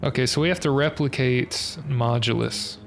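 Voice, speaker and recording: Young guy streaming a puzzle game, gravelly and a bit stuffy-nosed, mumbling through logic, game music clearly playing behind. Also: mid-range voice, fairly even intonation, normal speed. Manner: hesitant, muttering, working through logic step by step. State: stuffy nose, a bit nasal. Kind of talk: thinking aloud while live-streaming a puzzle game.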